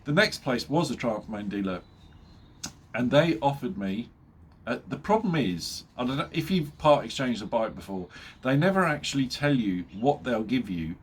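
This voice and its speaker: A man's voice: medium pitch, very animated, normal speed, husky. A middle-aged man with a blocked-up nose from a cold, chatting casually to his viewers, joking and chuckling at himself with plenty of ums along the way. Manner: chatty, jokey, laughs at his own jokes, many fillers. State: nasal from a cold. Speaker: motorbike vlogger. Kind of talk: casual chat to camera.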